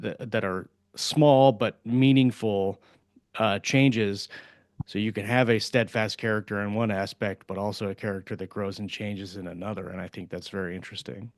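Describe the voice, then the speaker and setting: A man's voice: lowish voice, dry recording, clean studio sound. A man co-hosting a podcast, talking casually and conversationally about his views. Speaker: podcast co-host. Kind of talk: casual book discussion.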